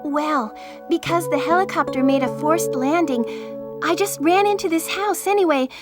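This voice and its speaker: A woman's voice: high voice, moderate pace, lightly acted. An actress playing a young female rookie field medic in a 1990s video game cutscene, apologetic and eager. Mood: earnest. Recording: game audio with loud music.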